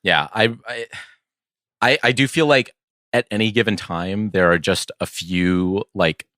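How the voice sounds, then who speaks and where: A man's voice: lowish voice, a little slow, sharp tone, no echo, studio quality. A man co-hosting a casual sports podcast in a wry, conversational way. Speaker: podcast co-host.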